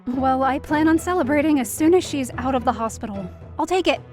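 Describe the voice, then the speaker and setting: A woman's voice: high voice, animated, fairly quick. An actress playing an anxious young woman who narrates her own story in an audio drama, confiding and self-deprecating. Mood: polite, friendly. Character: anxious, downcast young woman, daughter of a hospitalized mother. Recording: roomy, distant-sounding voice over music.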